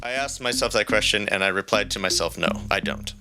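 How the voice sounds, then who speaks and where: A man's voice: lowish voice, fairly quick, over music. A man co-hosting a podcast with playful, theatrical comic banter. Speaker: podcast co-host.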